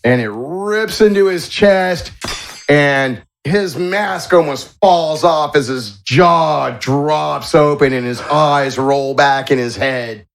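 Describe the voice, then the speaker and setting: Guy game mastering a tabletop rpg, voice a bit high, some background noise and clicks. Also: slow pace. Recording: clearly noisy voice track with clicks.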